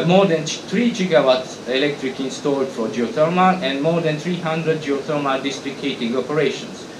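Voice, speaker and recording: A middle-aged man presents at a conference, pitched a touch above the usual male range. He talks haltingly, often restarting his sentences. The sound is roomy, with a clear steady hum of air conditioning behind him. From Romania. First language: Hungarian. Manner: halting, frequent restarts and fillers. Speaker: conference speaker, association president. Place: conference hall. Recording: echoey hall with hum.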